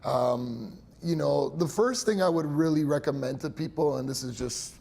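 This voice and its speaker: A man's voice: on the high side, normal speed, gravelly, darkish tone, husky. A young man who works as a hearing care professional, explaining his clinic in a chatty way with many fillers and little laughs. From Italian heritage. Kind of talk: interview answers, explanatory.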